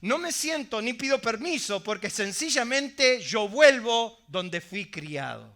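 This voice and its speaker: A man's voice: very high-pitched, very animated, takes it slowly, bright, forceful tone. A middle-aged man preaching a sermon, emphatic, often asking the congregation rhetorical questions. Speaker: pastor.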